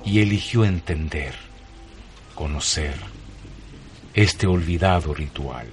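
A man with a Chilean accent narrating a scripted radio story in solemn, deliberate phrases. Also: very deep voice, a little mellow, soft background music.

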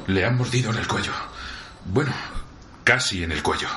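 A man's voice: fairly low pitch, moderate pace, background noise, lightly acted. An actor playing a tense, short-tempered man in a radio drama, brusque and coarse in the way he talks. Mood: tense, blunt. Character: tense, short-tempered male partner of a panicking woman.

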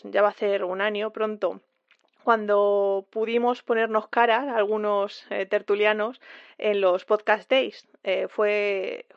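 A woman's voice: mid-range voice, creaky, dry recording. Hesitant woman on a dull, dry recording. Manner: hesitant, many fillers. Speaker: podcast contributor. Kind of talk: recorded message.

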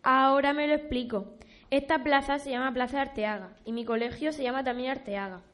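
A woman's voice: high-pitched, fairly even intonation, brisk, fairly bright tone. A female performer playing a curious local schoolchild in a scripted radio drama, inquisitive and careful in delivery. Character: curious local schoolchild discovering village history.

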